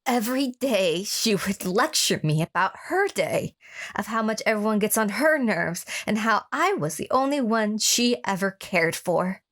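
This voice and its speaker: A woman's voice: medium pitch, animated, a little slow, fairly bright tone. A wounded captive woman narrating horror. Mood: somber, reflective. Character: captive, wounded woman recounting her obsessive lover's abuse. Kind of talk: first-person horror radio drama narration with acted dialogue.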